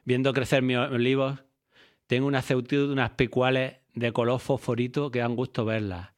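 A man from Jaén, a former punk band member talking in a relaxed, rambling, slangy way. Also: medium pitch, normal speed. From Jaén, Spain. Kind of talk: laid-back interview monologue.

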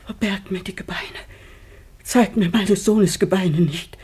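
An actress playing an impassioned, tender and anxious mother in a classic German radio drama, breathy and declamatory. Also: medium pitch, a little slow, a little mellow. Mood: anxious grief. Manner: emotive, declamatory.

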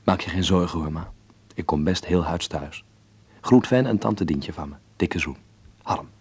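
A man acting an earnest young man who voices his letter home in a radio play, measured and reflective. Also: sits low, a little mellow, a little hiss or hum. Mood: pensive. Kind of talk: voiced letter narration in Dutch radio drama.

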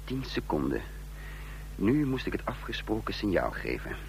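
An actor playing a tense, commanding conspirator and first-person narrator in a radio drama, emphatic and dramatic. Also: male voice, on the low side, big pitch swings, normal speed. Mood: tense narration. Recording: noisy, humming old radio recording.

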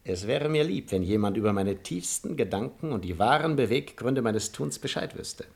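An actor playing a proud, cynical aristocratic hypocrite in a 1950s German radio play, with eloquent, measured stage diction. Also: male voice, mid-range voice, animated, gravelly, darkish tone. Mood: cool, cynical.

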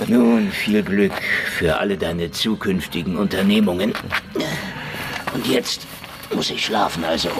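A man's voice: low-pitched, measured pace, very noisy. A man playing a sarcastic, defiant prisoner in a German radio play, with a mocking, flippant delivery. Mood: sardonic. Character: sarcastic, defiant male prisoner in a Moscow cell.

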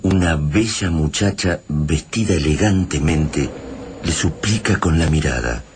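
A solemn Argentine man narrates a sci-fi radio play in a very low voice. His delivery is dramatic and literary, over clearly noisy ambience. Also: a little slow. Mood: solemn, suspenseful.

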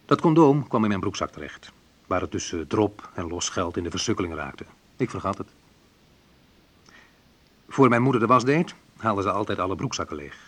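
A man's voice: on the low side, animated, normal speed, pauses often. An actor playing a wry, reflective man who narrates memories of his youth in an intimate radio drama, confiding and a little hesitant. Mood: amused reminiscence. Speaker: narrator and main character of a radio play.

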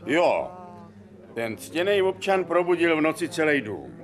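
An actor playing a grumbling resident complaining about a neighbour in a radio drama. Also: male voice, fairly high pitch, very animated.

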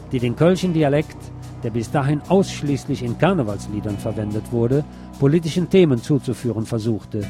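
A man reading scripted documentary narration in a measured, essayistic way. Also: medium pitch.

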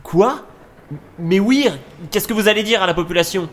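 A man's voice: high-pitched, lively intonation, normal speed, a little hiss or hum. A man acting an earnest, indignant government adviser in a French sci-fi audio drama, formal and protesting. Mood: alarmed, insistent.

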